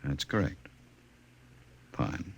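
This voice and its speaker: An actor playing a smooth, authoritative director of a dystopian future in 1950s radio drama, formal and courtly in a coolly commanding way. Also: male voice, drawn-out words, highs cut off, very noisy. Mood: coldly commanding.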